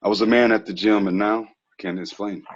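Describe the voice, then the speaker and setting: An actor reading a frank, laid-back twenties ex-athlete who is quietly troubled, slangy and earnest, in a TV drama table read. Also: male voice, on the low side, steady tone, fairly quick, lightly acted. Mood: heavy, confessional. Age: young adult. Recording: dull lo-fi recording.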